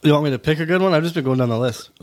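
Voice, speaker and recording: A man in his twenties on a casual podcast, sharing trivia facts quickly and getting defensive when teased. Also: fairly high pitch. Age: 20s. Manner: quick, defensive, fumbling restarts. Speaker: podcast co-host reading facts.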